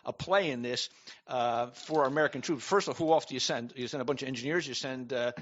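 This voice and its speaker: A man's voice: medium pitch, fast talker, muffled recording. A man taking part in a radio talk discussion, explaining his point conversationally. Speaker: radio talk show panellist. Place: radio show panel discussion.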